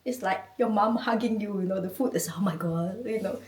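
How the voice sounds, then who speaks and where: A woman from Malaysia with a Malaysian accent, chatting as a guest in an interview, animated and often laughing. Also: medium pitch, a little fast. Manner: animated, laughing, chatty with many "you know"s. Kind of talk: casual interview. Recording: echoey room, mic at a distance.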